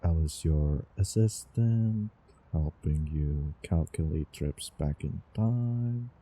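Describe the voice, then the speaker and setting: A man playing a doting, obsessive young student from the future in a halting, intimate audio drama monologue with nervous chuckles. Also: extremely low pitch, slight background noise. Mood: lovesick, wistful. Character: doting, obsessive male time-traveling student in love. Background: soft background ambience.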